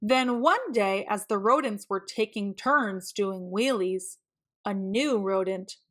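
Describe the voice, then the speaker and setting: A woman reading a children's storybook aloud in an expressive, deliberate way with dramatic emphasis. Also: mid-range voice. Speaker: children's book reader.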